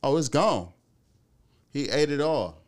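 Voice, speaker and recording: A man in his thirties from the Cleveland area telling animated, slangy stories in casual chat, swearing freely. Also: mid-range voice, slow pace. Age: 30s.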